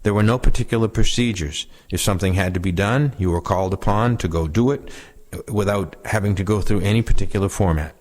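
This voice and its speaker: A man's voice: fairly low pitch, brisk, a little mellow. A man reading first-person war recollections aloud as a reader of oral histories.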